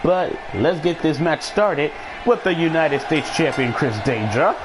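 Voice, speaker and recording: A man's voice: fairly high pitch, expressive, a little slow, noisy background. A man chatting casually as he hosts a live gaming stream and commentates on the action, often repeating phrases for emphasis.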